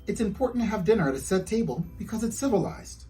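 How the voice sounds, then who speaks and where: A man's voice: high-pitched, animated, fast talker, mellow, soft background music, voice pushed up. A man voicing a patient, proper mother who gives polite instruction in a storybook. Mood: patient teaching.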